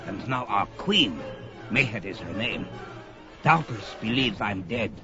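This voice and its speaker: A man's voice: fairly low pitch, lively intonation, takes it slowly. A man playing an aged, deposed wizard in a fantasy audio drama, weary but stubborn, with grave, old-fashioned, theatrical speech. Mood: grave, ominous. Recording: dull lo-fi, loud music underneath. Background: music.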